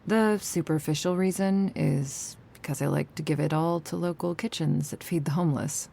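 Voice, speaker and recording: A woman playing an ageless vampire gardener in a slow, intimate ASMR roleplay, measured and solemn with a deadpan edge and a soft, sometimes breathy voice. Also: sits low. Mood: calm, matter-of-fact. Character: ageless vampire woman gardener, sardonic yet tender, protective.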